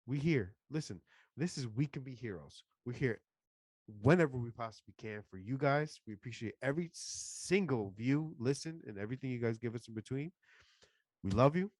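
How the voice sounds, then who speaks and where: A man co-hosting a podcast, a little hoarse from being sick, signing off with upbeat plugs. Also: mid-range voice, expressive, normal speed, no echo. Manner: upbeat, hyped plugs and shout-outs. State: losing his voice after being sick. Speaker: podcast co-host. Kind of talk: casual podcast sign-off.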